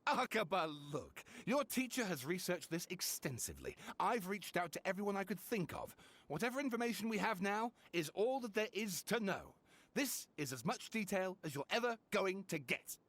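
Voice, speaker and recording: Male teacher for a game: highish, lively intonation, emphatic, worn patience. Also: moderate pace, full character voice. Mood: exasperated, firm. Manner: emphatic, lecturing. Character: exasperated male scholar reasoning patiently with a student. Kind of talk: English video game voice acting.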